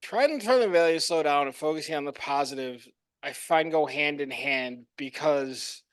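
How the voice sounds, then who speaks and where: A man giving a prepared club speech in an earnest, measured, reflective way. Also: mid-range voice, a bit bright, no room sound. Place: meeting room.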